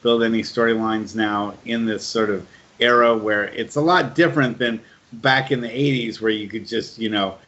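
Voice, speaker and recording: Low-voiced man on lo-fi audio. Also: steady tone, normal speed, a bit bright. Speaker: podcast host asking questions. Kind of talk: casual interview chat. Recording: dull lo-fi sound.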